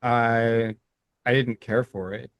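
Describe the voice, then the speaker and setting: A middle-aged man co-hosting a casual podcast, speaking in halting phrases with many restarts and stammers. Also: mid-range voice, steady tone, no room sound. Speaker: podcast co-host. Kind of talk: casual chat.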